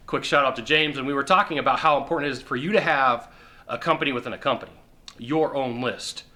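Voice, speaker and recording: Bright-voiced emphatic middle-aged man. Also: medium pitch. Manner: emphatic, repetitive, uses quick acted-out asides. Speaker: sales coach. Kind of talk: direct-to-camera advice talk. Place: indoor living room on a sofa.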